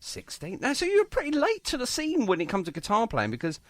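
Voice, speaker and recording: A man's voice: very high-pitched, huge pitch swings, fast, dry recording. A man hosting a radio music interview in a chatty, effusive and complimentary way. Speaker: radio show host.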